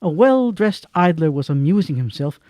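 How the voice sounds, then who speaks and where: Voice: medium pitch, very animated, normal speed, mellow, no echo. A narrator telling a dramatic story in an audio drama. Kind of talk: scripted narration.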